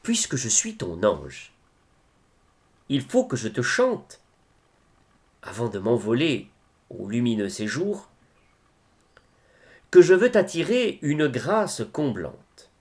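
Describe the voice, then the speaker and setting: A man reciting devotional poetry in a solemn style, with measured, expressive phrasing and pauses. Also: medium pitch.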